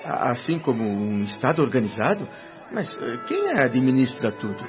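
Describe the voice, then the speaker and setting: An actor playing a curious, awestruck doctor newly arrived in the afterlife, in radio soap style. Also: male voice, medium pitch, big pitch swings, moderate pace, natural voice. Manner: wondering, questioning, theatrical. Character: awestruck, curious newly dead doctor visiting a spirit city. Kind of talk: Brazilian radio soap acting. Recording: dull radio drama with music behind.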